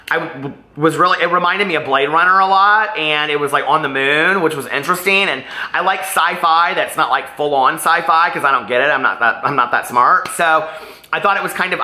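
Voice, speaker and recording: A man with a bright, forward voice speaks casually and directly to his viewers. He gushes and rambles, leaning hard on words for emphasis. The recording is roomy, with audible echo around the voice. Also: fairly high pitch. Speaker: book reviewer vlogger. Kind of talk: casual chatty book review to camera. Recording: roomy, echoey room sound.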